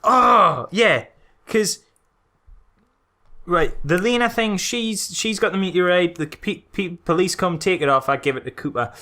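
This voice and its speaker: A British man co-hosting a film podcast, chatting casually and comedically, animated and given to exasperated repetition for emphasis. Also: high-pitched, a little slow.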